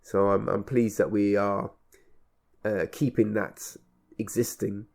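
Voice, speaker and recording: Low-pitched man in reflective conversation. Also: flat delivery, slow, gravelly, darkish tone. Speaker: radio show host and event organiser.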